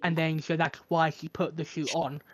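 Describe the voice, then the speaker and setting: A man's voice: on the high side, level tone, normal speed. Hesitant co-host man talking movies. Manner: earnest, hesitant with fillers. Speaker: podcast co-host. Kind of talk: casual film review chat.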